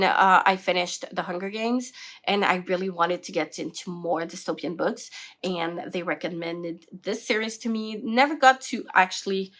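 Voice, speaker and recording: A woman's voice: mid-range voice, a bit bright. A young woman from French Canada, a native French speaker with a French Canadian accent, chatting casually about her reading list in a rambling way full of fillers. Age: young adult. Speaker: book vlogger. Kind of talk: casual book chat to camera.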